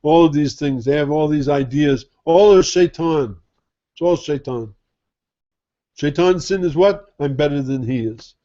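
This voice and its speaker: Man preaching, mellow lo-fi sound. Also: a little high, unhurried. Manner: conversational, rhetorical questions, mimics others' attitudes. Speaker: Sufi sheikh teaching. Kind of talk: informal spoken sermon. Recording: dull lo-fi recording.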